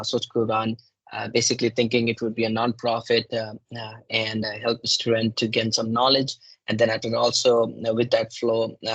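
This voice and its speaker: Flat-voiced young man from Nepal. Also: medium pitch, no echo, rough recording. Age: young adult. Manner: hesitant, many fillers and restarts. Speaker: guest speaker. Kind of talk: presentation talk.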